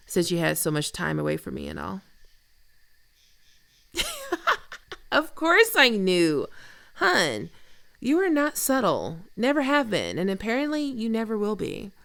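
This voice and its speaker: Woman acting a very creaky, teasing flirt. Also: on the low side, sing-song, a bit bright, no room sound, heavily acted. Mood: flirtatious teasing. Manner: casual, teasing, unhurried. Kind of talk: intimate ASMR roleplay acting.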